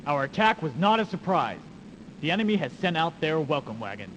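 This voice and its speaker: Male ruthless game commander, theatrical and emphatic, animated pitch, noisy reverberant old game audio. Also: fairly quick, highs cut off. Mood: commanding. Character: proud, ruthless male military commander, hungry for victory. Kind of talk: dramatic video game cutscene acting.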